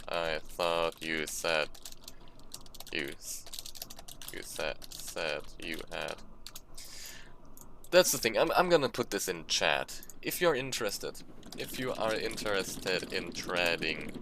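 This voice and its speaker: A young man with a low voice chats loudly and slowly over a live game stream, trailing off and restarting his words. He sits at a computer at home. Keyboard clatter and typing sit clearly behind him. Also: animated, a little hiss or hum. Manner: loud, rambling, self-interrupting. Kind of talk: casual live-stream chatter while gaming.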